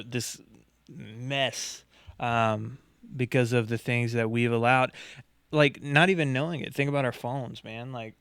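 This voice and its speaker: Flat-toned man, earnest explainer. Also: medium pitch, smooth, no echo. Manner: earnest explaining with frequent "like" fillers. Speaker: podcast co-host. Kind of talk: casual podcast conversation.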